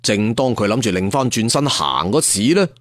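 A man's voice: lowish voice, lively intonation, moderate pace, no room sound, studio quality. A man telling a serial story in a theatrical, colloquial traditional storyteller style. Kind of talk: dramatic serial storytelling narration.

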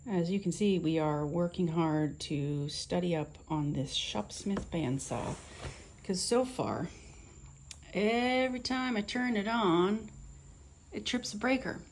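Slow, low-voiced woman in a garage. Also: slight background noise. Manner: relaxed, explaining step by step. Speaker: woodworking vlogger. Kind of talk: casual how-to narration. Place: home garage workshop.

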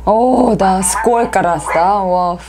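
A woman with a Korean accent, a native Korean speaker, giving casual reaction commentary in short exclamations and simple halting phrases. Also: mid-range voice, noisy background. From South Korea. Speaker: reaction video host. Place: small room indoors. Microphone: lapel mic. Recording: music playing loudly behind the voice. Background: loud music from the cartoon being watched.

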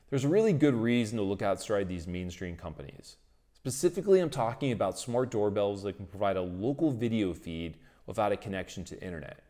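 A man's voice: lowish voice, warm tone. Man presenting a tech tutorial. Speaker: tech video host. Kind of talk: explanatory tech tutorial, presenting to camera and in voice-over.

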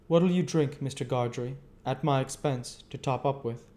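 A man's voice: medium pitch, normal speed, warm tone, pitched above the performer's own voice, natural voice. A man reading aloud voices a secretive, cunning male stranger who asks probing, sly questions.

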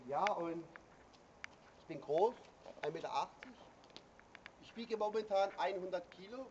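A man's voice: high voice, animated, a little slow, long pauses, lo-fi sound, noisy background. A middle-aged man from Sicily with an Italian accent, joking and rambling to a handheld camera outdoors under a river bridge, full of hesitant filler sounds. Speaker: vlogger. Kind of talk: joking self-introduction to camera. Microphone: handheld camera nearby.

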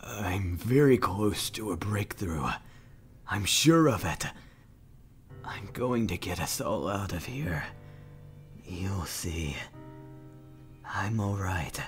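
Male actor, weary obsessed cartoon monster scientist, hushed, slow, brooding, music behind. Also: deep voice, lively intonation, full character voice. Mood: weary, evasive. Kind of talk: comic dub acting.

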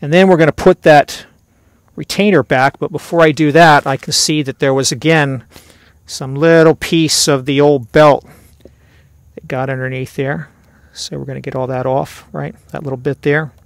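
A man's voice: a little high, fairly even intonation, moderate pace, gravelly, no echo, studio quality. A middle-aged man giving casual, step-by-step instructional narration as the host of a hands-on repair tutorial. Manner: conversational, step-by-step explaining.

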